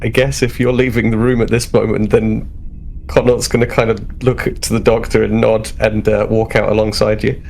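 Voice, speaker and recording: A man's voice: lowish voice, flat delivery, normal speed, gravelly, a little mellow, a little hiss or hum. A man casually improvising descriptions of his character as a player in a tabletop game.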